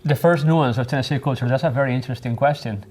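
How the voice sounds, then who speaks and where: A man in his thirties, a professor from Spain, answering interview questions in a thoughtful, measured way with frequent ums. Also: mid-range voice, darkish tone. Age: 30s. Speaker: Spanish professor. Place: studio.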